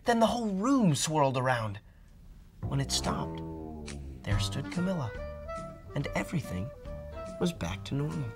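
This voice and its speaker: A middle-aged man reads a picture book aloud with dramatic, animated delivery. His pitch rises and falls widely. Soft music plays faintly beneath him. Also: a little slow, creaky, pauses often. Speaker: storybook reader. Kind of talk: expressive storybook read-aloud.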